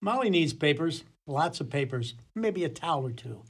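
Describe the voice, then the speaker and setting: A man plays a grubby little gumshoe in a comic radio play. The voice is husky and rough, and he talks in a blunt, droll way. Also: fairly high pitch, sing-song, moderate pace, full character voice. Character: short, grubby, blunt gumshoe police detective. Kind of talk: comedy radio play acting.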